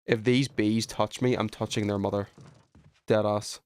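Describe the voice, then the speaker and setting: A man's voice: on the low side, level tone, a little slow, a little mellow, clean tone. A man live streaming a video game with casual, slangy commentary full of swearing.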